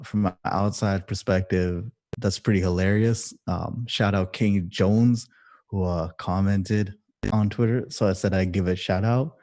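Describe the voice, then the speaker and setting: A young man chatting casually between songs of his livestream set, halting and rambling with many fillers. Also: low-pitched, warm tone. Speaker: musician performing a livestream set. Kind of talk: casual between-song chat.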